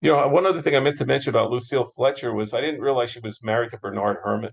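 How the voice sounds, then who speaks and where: A man's voice: mid-range voice, rapid-fire, gravelly. An American man hosting a casual interview, chatting with eager enthusiasm and agreeing readily. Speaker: interviewer, moderator. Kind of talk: casual interview chat about classic radio.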